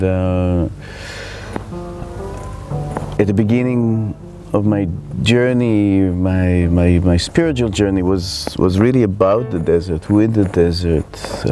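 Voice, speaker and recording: A middle-aged man from Israel with an Israeli accent, telling his life story in a reflective, halting way as he searches for words. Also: sits low. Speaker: spiritual teacher. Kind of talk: personal life story told to camera.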